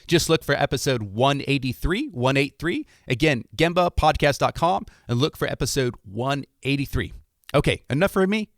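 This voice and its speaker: A man's voice: medium pitch, normal speed, dry recording, studio quality. A man from Ohio hosting a podcast interview, talking casually with lots of fillers and restarts. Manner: relaxed, many fillers and restarts. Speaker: podcast host.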